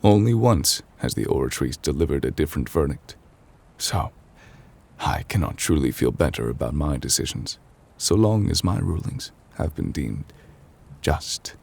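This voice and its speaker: A man playing an ancient, weary chief judge in an intimate ASMR roleplay, formal and gentle, speaking in measured, hesitant phrases. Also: expressive, exaggerated voice. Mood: sombre, weary. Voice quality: husky, breathy low voice. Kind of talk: intimate ASMR audio roleplay, slow dramatic monologue.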